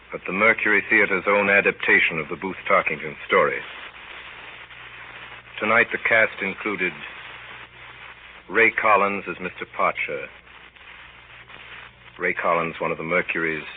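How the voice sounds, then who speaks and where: A man hosting and announcing a 1930s radio program in a formal, measured manner. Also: low-pitched, narrow, tinny audio, a little hiss or hum. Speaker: host, announcer. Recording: thin, band-limited 1930s radio recording.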